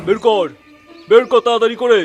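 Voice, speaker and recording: Really high shrill greedy bully man for a kids cartoon, blustery, bit of music behind. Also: steady tone, a little fast, muffled recording. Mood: suspicious, furious. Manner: blustering, exclamatory. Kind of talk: children's cartoon voice acting.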